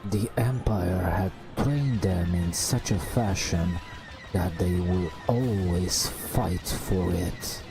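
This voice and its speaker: Very slow, deep, hushed ASMR storyteller man. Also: creaky. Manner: hushed, drawn-out delivery with long pauses. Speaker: host narrating gameplay. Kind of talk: ASMR bedtime-story narration. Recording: music behind the voice. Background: game music.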